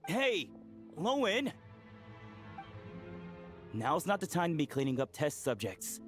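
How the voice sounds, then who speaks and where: Anxious, high-voiced young researcher. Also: male voice, wildly swooping pitch, takes it slowly, faint music behind, full character voice. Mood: anxious, urgent. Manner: agitated, questioning. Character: anxious, urgent young male researcher, a fretful accomplice. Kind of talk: video game voice acting.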